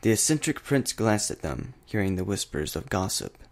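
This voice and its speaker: Low-voiced, animated male story narrator. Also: moderate pace.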